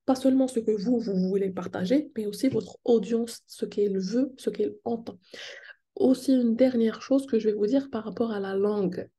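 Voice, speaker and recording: A woman's voice: on the high side, moderate pace, warm tone. A Moroccan woman whose first language is Moroccan Arabic, speaking with a Moroccan French accent as she explains business tips and poses questions to her viewers. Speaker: online business coach. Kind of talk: teaching tips to the camera.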